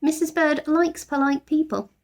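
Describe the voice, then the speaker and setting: A woman's voice: high-pitched, flat delivery, normal speed, voice pushed up. A woman voicing an eager, polite young daughter in a children's storybook. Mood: confident.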